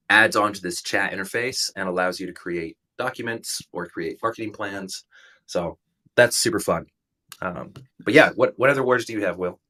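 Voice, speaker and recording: A man in his thirties hosts a casual livestream, his lowish voice raspy and stuffed up from allergies, though still bright in tone. He rambles along and makes jokes at his own expense. He sits a little back from the microphone. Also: recorded from a distance. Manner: rambling, self-deprecating joking. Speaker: livestream host.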